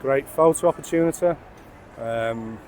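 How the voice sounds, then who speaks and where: A man's voice: medium pitch, measured pace, a little mellow, slight background noise. Tipsy Mancunian man vlogging a pub crawl. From England. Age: 40s. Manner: chatty, swears freely, jokey asides. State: tipsy from beer. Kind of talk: casual walking vlog. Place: outdoors on city streets and a busy rooftop bar.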